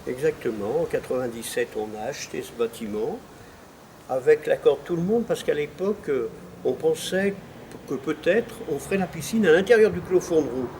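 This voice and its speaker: A man's voice: on the high side, expressive, normal speed, darkish tone, slight background noise, low-quality recording. A man giving interview testimony as a local official, explaining step by step and stressing his key points. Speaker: former president of an intercommunal council, interviewed.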